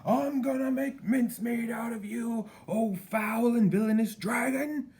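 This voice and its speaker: A man voicing an angry, pompous storybook king who shouts grand threats. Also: extremely high pitch, measured pace, pitched above the performer's own voice. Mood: threatening.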